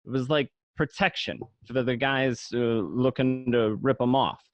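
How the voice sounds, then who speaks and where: A man playing a cocky young wise guy looking back on his mobster youth in an amateur radio play, talking colloquially and a little haltingly. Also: mid-range voice, steady tone, no room sound, natural voice. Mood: admiring, explanatory. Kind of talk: first-person narration in an amateur radio play.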